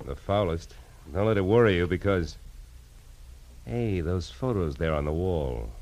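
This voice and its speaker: A man's voice: low-pitched, expressive, a little slow, darkish tone. An American man playing a wry, terse, hard-boiled private detective in 1940s radio noir style. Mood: dry, probing. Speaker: narrator and lead actor. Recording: noisy old radio drama recording.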